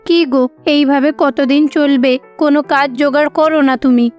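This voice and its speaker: A woman's voice: high voice, fairly even intonation, normal speed, darkish tone, muffled recording, soft background music, lightly acted. A woman voicing an earnest, coaxing young wife in a cartoon story. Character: devoted, persuasive young wife in a poor household.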